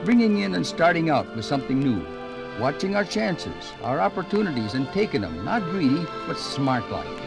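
Lively, folksy old working man. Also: medium pitch. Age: older. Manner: chatty, folksy, addressing listeners directly. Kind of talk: 1950s radio drama narration. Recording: 1950s radio record with music behind.

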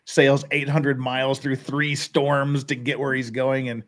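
A man's voice: medium pitch, flat delivery, unhurried, a bit bright. A middle-aged man hosting a casual livestream from a home studio, chuckling and reading chat comments aloud. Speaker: host. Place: home studio in front of a backdrop. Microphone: headset.

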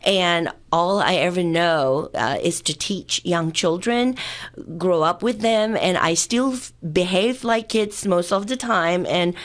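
Woman, fifties, grew up in Singapore, chatty and upbeat, lowish voice, radio studio mic. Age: 50s. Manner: talkative, upbeat, fast-running answers with fillers. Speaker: political candidate, interview guest. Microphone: studio mic with headphones.

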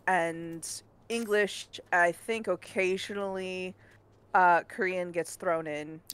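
A woman from Hawaii who works as a translator, chatting casually with a measured delivery and frequent pauses and fillers. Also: fairly low pitch, fairly bright tone, clear, resonant tone. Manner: measured, thinks aloud with many fillers. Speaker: translator and co-host. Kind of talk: casual chat.

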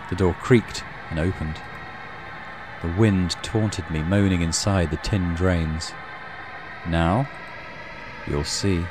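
A man reading a horror visual novel aloud as a dramatic narrator for a let's play. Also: sits low.

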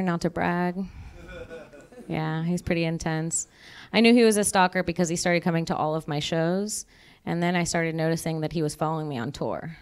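A young woman doing stand-up on a club stage into a handheld mic, dropping dark punchlines in a chatty, deadpan way. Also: low-pitched, smooth. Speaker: stand-up comedian. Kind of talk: stand-up comedy set. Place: comedy club stage before a live audience. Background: audience laughter.

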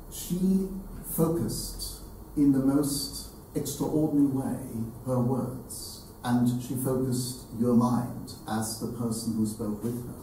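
Older male public speaker, slow and very mellow, addressing a hall formally with deliberate emphasis. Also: mid-range voice. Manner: deliberate, halting, emphatic repetition. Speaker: event speaker. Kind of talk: formal tribute speech to an audience. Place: hall with seated audience, indoors. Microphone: distant camera. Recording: very echoey room, distant mic, slight noise.

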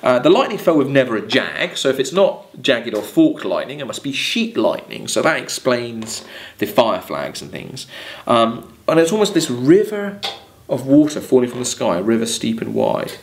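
A man's voice: mid-range voice, very animated, moderate pace. A man in his thirties with an English accent, lecturing on literature, reading verse aloud and explaining it in hesitant, exploratory phrases. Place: small plain room, seated at a desk.

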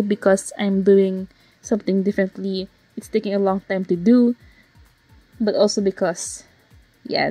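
A slightly hoarse woman casually narrating her digital painting process, rambling with fillers and self-corrections. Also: mid-range voice, no room sound, poor audio. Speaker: digital artist narrating a speedpaint. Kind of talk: casual voiceover commentary. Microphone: home voiceover mic.

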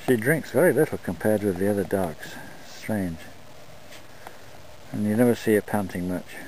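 Man, low register, laid-back vlog narration outdoors, faint background ambience. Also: slight background noise, poor audio. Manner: relaxed, unhurried talk with fillers. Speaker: dog owner filming a scooter run. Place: open desert outdoors.

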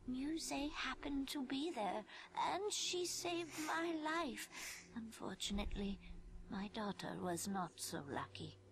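A woman voicing a gentle, wistful blind old grandmother in a video game, speaking softly and kindly in a measured way. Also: sits high, animated, a little hiss or hum, rough recording. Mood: sorrowful.

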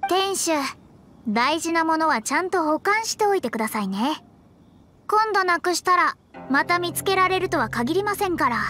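A woman's voice: extremely high pitch, animated, moderate pace, bright, forceful tone, soft background music, heavily acted. An actress playing a proud, prim young female astrologer mage who speaks formally in an anime game. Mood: prim, composed. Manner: prim, self-important, formal.

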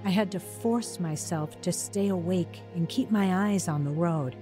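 A woman's voice: on the low side, measured pace, music in the background, lightly acted. An actress narrating and playing a troubled young mother in a radio drama, close to tears and emotional. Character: troubled young mother leaving her husband. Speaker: narrator and lead character.

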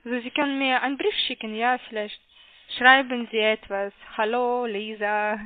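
A woman with a foreign accent making a halting sales phone call, hesitant and careful as she spells things out. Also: fairly high pitch, steady tone, dry recording. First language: not German. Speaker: call centre caller. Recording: muffled, narrow phone line.

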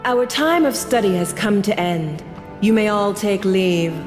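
A woman's voice: mid-range voice, expressive, a little slow, close to an everyday voice. An actress playing a kindly, scholarly lady mentor in a fantasy audio drama, speaking formally and graciously. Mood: approving, measured. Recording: long hall reverb, music behind.

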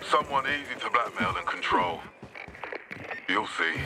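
A man's voice: lowish voice, expressive, measured pace, noisy background. An actor playing a shrewd, authoritative male faction leader giving orders in a video game. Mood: scheming, brisk. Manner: authoritative, directive.

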